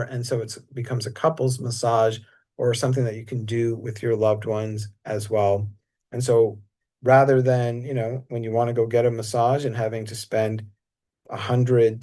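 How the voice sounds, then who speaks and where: A mellow, warm-voiced man talks through his massage training in a relaxed, casual way. His pitch sits in the middle, and his delivery is unhurried and easygoing, with frequent small fillers. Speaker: massage teacher. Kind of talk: casual talk.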